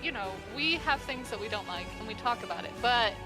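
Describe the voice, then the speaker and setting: A giggly woman with a slightly high, animated voice chats casually as a stream guest. She slips into little imagined quotes as she makes her points. Music is clearly audible behind her. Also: fairly quick, poor audio. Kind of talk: casual chat.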